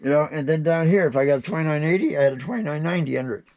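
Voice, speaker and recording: Older guy, real gravelly creaky voice, casual collector show and tell. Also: on the high side, racing, a little mellow, lo-fi sound. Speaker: radio collector showing his collection.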